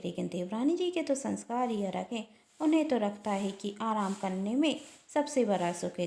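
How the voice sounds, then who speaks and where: A sly elder sister-in-law from a domestic moral story, voiced by a woman narrator. She talks with false care and pious self-righteousness, in a slightly high, creaky voice in a roomy space. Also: a little mellow. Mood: self-righteous. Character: sly, sweet-talking elder sister-in-law in an Indian household. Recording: roomy echo.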